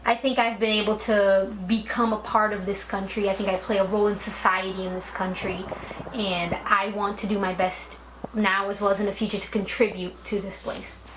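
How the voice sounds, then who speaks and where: A young woman, born in Brazil, answers interview questions in a casual, thoughtful way, leaning on "like" and "you know." Her voice sits mid-range. The recording sounds roomy, with a clear layer of background noise. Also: medium pitch. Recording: echoey room with background noise.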